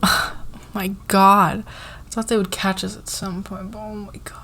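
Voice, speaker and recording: A woman playing a flustered, fair-minded company boss in a casual roleplay ASMR, with a halting, informal, giggly manner. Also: mid-range voice, flat delivery, sharp tone, a little hiss or hum. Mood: flustered, giddy.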